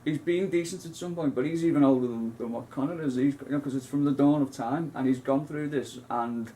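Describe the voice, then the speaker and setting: A man's voice: mid-range voice, a little fast, warm tone, poor audio. A man, co-hosting a casual film chat, rambling with many restarts and asides. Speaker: co-host.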